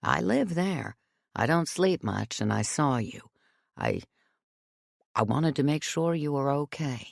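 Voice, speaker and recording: Woman reading as gentle elderly man, patient, soft-spoken, slow, low, dry close sound. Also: expressive, gravelly, dry recording, exaggerated voice. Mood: kindly.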